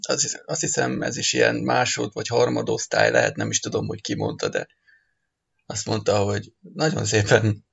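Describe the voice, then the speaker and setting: A man's voice: fairly low pitch, expressive, vocal fry, a bit bright, breathy edge. A man chatting casually as a panelist on a football podcast. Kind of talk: casual chat. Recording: dull, lo-fi audio.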